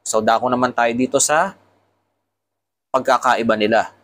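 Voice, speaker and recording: A man's voice: mid-range voice, normal speed, fairly bright tone. A man with a Filipino accent giving a casual product tutorial, explaining things step by step as a motovlogger. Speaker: motovlogger reviewing motorcycle intercoms.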